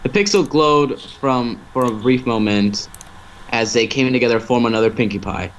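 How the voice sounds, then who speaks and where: Male amateur narrator, mid-pitched, stumbles and rereads lines, light background noise on a livestream mic. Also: moderate pace, low-quality recording. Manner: stumbling over words and rereading phrases. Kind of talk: amateur story reading.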